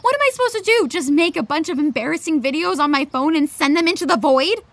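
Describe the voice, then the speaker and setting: A woman's voice: sits high, expressive, fast, fairly bright tone, no echo. An actress playing an anxious, defensive teenage girl in a naturalistic audio drama, with a sarcastic, exasperated edge. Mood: angry, bitter.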